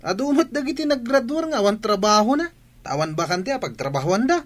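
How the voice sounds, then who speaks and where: A woman's voice: a little high, big pitch swings, fairly quick. A woman with an Ilocano accent acting a whiny, demanding student pestering a parent for money in a radio drama. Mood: irritated.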